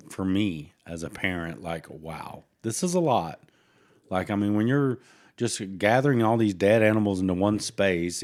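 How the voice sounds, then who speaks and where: A man with a fairly low voice co-hosting a true-crime podcast. He talks in a loose, rambling way, pausing often with filler words as he works through his thoughts. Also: darkish tone. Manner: rambling, hesitant, with many fillers. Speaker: podcast co-host.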